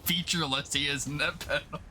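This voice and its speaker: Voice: mid-range voice, steady tone, fairly quick, pushed and bright, a little hiss or hum. Someone bantering casually and crudely during a comic book reading, sounding amused.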